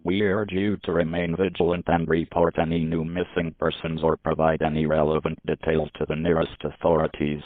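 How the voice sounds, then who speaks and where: A dull, band-limited recording of a man with a very low voice and a dry, close sound. He delivers a scripted emergency alert as an announcer, formal and measured throughout. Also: flat delivery, dry recording. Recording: muffled, band-limited lo-fi audio.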